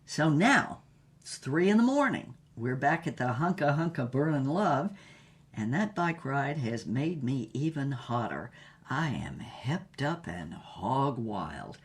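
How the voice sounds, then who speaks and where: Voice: medium pitch, sing-song, slow, breathy edge, full character voice. Sultry female spy-starlet narrator played by an actor, bold, wry, theatrical, scripted audio drama storytelling. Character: bold, sultry female spy and film star telling her exploits.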